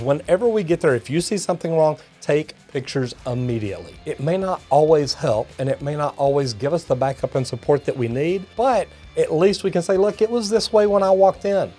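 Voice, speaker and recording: Man, Texan accent, slightly high pitch, folksy, plumber host, reading and reacting to comments, faint background music. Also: mellow. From Texas, United States. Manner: folksy, addresses viewers as y'all and guys. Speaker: master plumber and video host.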